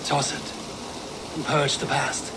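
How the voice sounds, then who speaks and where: Resolute Viking warrior, noisy ambience. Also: male voice, on the high side, little pitch movement. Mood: solemn. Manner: measured, resolute, blunt. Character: steadfast, commanding male Viking clan warrior. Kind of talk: video game cutscene acting.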